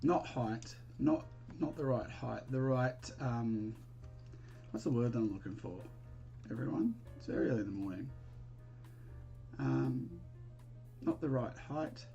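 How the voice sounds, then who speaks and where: A man's voice: medium pitch, measured pace, vocal fry, long pauses, warm tone, highs cut off, soft background music. A man with an Australian accent hosting a casual live stream, chatting informally with viewers and thinking aloud in a hesitant, rambling way. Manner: friendly, informal greetings to viewers. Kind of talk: casual chat while building, answering viewers.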